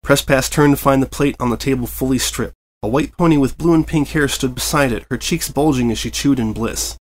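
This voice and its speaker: A man reading fan fiction aloud, narrating in an expressive storytelling way. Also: mid-range voice, brisk. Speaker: reader.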